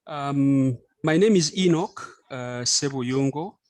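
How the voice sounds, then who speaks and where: A man from Uganda introduces himself on a video call in a courteous, gently humorous way. He speaks very slowly at a mid pitch, and his voice sounds dry and close to the mic. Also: no room sound. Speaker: lecturer in French and translation. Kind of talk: self-introduction at an online conference.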